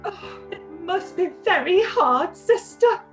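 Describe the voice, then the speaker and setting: A woman's voice: very high voice, expressive, slow pace, soft background music, full character voice. A woman playing a sympathetic, genteel middle-aged aunt in a period radio play, exclaiming and commiserating. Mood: gushing sympathy.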